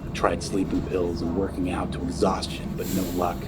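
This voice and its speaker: A sardonic, broke musician who has not slept , acted by a man for an audio drama. The voice sits low and the delivery is deadpan. Loud music plays behind it. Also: normal speed, close to an everyday voice. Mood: weary. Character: Ray, sleepless broke musician, sarcastic slacker man. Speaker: lead character and narrator of an audio drama. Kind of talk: scripted audio drama, diary-like.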